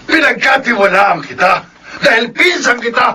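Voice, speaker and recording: An actor playing a generous but stern resort owner in a radio drama, with an authoritative manner. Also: male voice, very high-pitched, lively intonation, normal speed, bright, forceful tone, husky, exaggerated voice. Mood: betrayed, furious. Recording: faint background noise.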